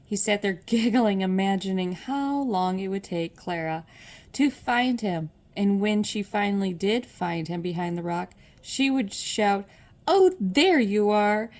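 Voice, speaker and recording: An older woman reading a children's story aloud as a storyteller, measured and expressive. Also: medium pitch.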